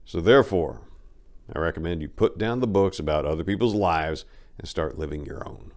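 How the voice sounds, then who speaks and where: A man giving a dramatic reading of a first-person road story, narrating with emphatic swings in loudness. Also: low voice, moderate pace. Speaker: audiobook narrator.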